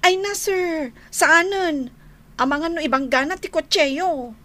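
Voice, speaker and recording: A woman's voice: high voice, a little slow, sharp tone. A woman acting a fed-up, nagging wife in an Ilocano radio drama, with an Ilocano accent and emphatic exclamations. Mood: meek, polite. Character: scolding wife, exasperated, fed up with lazy drinking husband.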